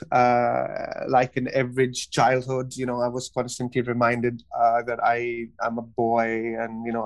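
A man from Pakistan, raised in Kuwait, a therapist telling his personal story as a podcast guest in a halting, earnest way with many pauses and restarts. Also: mid-range voice, rough recording. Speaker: guest, cognitive behavior therapist.

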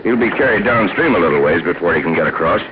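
Steady expedition leader, tinny radio. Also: male voice, deep voice, quick pace. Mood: calm, instructive. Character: Uncle Jim, steady, commanding adult male expedition leader. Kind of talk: old-time radio serial acting. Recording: noisy, tinny old radio broadcast.

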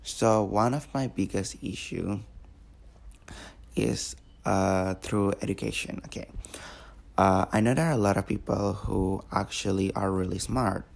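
Gravelly low-voiced teen podcaster. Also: male voice, steady tone, a little slow. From Indonesia. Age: teens. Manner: rambling, many fillers and repeated words. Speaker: podcast host. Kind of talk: casual solo podcast.

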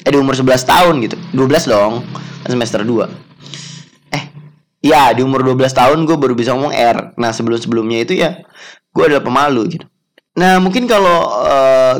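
A young man, talking casually on his podcast in a chatty, self-mocking way with many fillers and laughs. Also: medium pitch. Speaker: podcast host.

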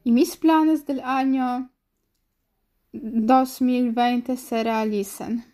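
A young woman reading a personal essay aloud in a slow, careful way. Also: on the high side, fairly even intonation, warm tone.

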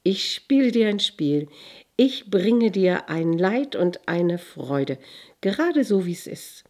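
A woman voicing a playful male court jester who talks in an excited, sing-song way in a spoken fairy tale. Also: medium pitch, takes it slowly.

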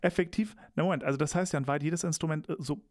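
A man's voice: a little high, fairly even intonation, very fast, darkish tone, dry recording, studio quality. A young man co-hosting a casual chat, enthusiastic and rambling, teasing his co-host. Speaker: podcast co-host.

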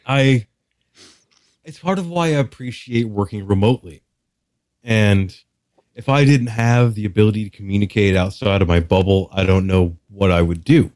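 Low-voiced man, candid and dryly casual. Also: darkish tone, no echo. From Texas, United States. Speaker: podcast guest, hypnotherapist. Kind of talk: casual interview chat.

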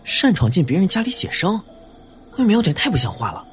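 A man playing a brash, suspicious police officer on night patrol in an audio drama, brisk and questioning. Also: high-pitched, wildly swooping pitch, faint music behind. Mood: stern, suspicious.

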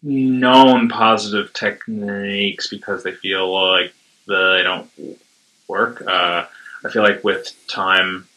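A man's voice: on the low side, steady tone, slow pace, bright, forceful tone, low-quality recording. A man who stutters, with sound blocks and repeats, talking hesitantly with many fillers in a casual conversation.

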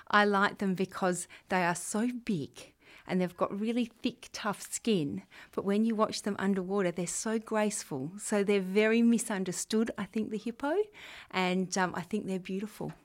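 A woman's voice: mid-range voice, fairly even intonation, normal speed. An Australian woman in her forties, a school leader answering students' interview questions in an earnest, chatty way. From Western Australia. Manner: warm, earnest, chatty with fillers. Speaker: school head of junior years.

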